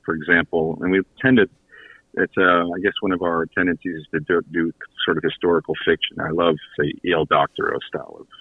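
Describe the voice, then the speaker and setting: Deep-voiced man on an echoey phone line. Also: little pitch movement, fast talker, slightly rough. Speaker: guest, audio theater creator and writer. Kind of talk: casual radio interview chat.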